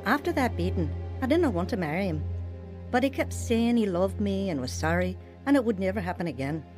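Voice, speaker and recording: A woman's voice: medium pitch, animated, fairly quick, over music, close to an everyday voice. A woman playing a vulnerable, earnest young wife and mother in a radio drama. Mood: somber, reflective. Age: young adult. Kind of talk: radio drama acting and first-person narration.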